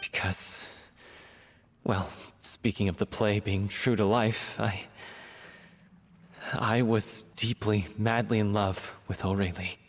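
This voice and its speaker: An actor playing an earnest, grieving theatre man in a video game, telling things in a measured way. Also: fairly low pitch, steady tone, long reverb tail. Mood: wistful, confessing. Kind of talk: video game dialogue acting.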